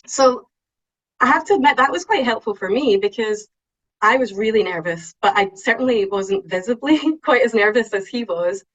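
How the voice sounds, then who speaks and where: A woman with a strong Scottish accent giving an online talk, lively and excitable, with frequent ums. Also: fairly high pitch, moderate pace, muffled recording. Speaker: invited speaker. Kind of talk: webinar talk on presenting.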